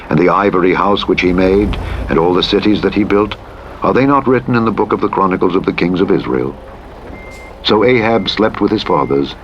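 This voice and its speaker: A man narrates scripture in a solemn, formal style, with measured and dramatic delivery. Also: deep voice, muffled recording. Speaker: audiobook Bible narrator. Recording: loud truck engine noise over voice. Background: truck engine and vehicle sounds from driving simulator game.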